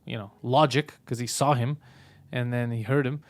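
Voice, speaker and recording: A young man co-hosting a comedy show, chatting in an animated, mocking way and laughing easily. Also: medium pitch, normal speed. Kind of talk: casual comedic chat.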